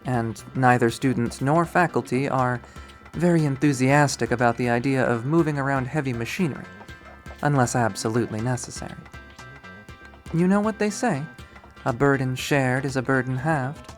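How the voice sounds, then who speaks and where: A man's voice: mid-range voice, faint music behind, lightly acted. Man doing a composed, precise college woman. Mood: wry. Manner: measured, precise, formal. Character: composed, formal, dry-witted college woman.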